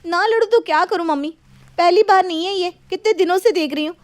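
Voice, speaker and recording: Woman playing young wife, storybook drama, very high voice, independent, exasperated, scolding. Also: a little fast, no room sound. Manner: scolding, assertive.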